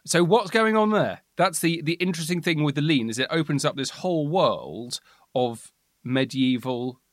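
A man's voice: on the high side, expressive, normal speed, no room sound, clean studio sound. A man co-hosting a casual chat, often restarting his sentences as he thinks aloud.

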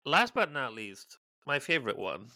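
Bright-voiced wry male podcaster. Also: medium pitch, moderate pace, no echo. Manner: wry, deadpan jokes. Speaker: podcast co-host. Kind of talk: casual chat recapping a reality show. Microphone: remote call microphone.

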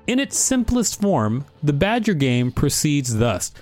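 A man's voice: a little high, sing-song, measured pace, a little mellow, faint music behind. A man co-hosting a podcast, reading an article aloud and chatting casually. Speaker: podcast co-host.

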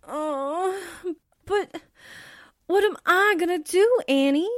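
A woman's voice: very high-pitched, slow pace, dry recording, studio quality. A woman playing a gullible, flustered young newspaperwoman in 1940s radio drama style, wide-eyed and hesitant. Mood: dismayed, plaintive.

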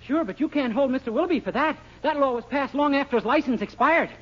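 A man's voice: very high-pitched, fast. An actor playing a naive, well-meaning, bumbling young man in an earnest, guileless way, in a 1940s radio comedy. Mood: earnest pleading. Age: young adult. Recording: muffled, noisy 1940s radio recording.

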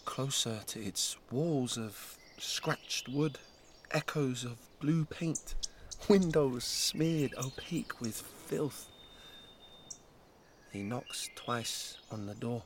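A man reading an audio drama aloud as its narrator, in short, measured, dramatic phrases. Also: mid-range voice, slight background noise.

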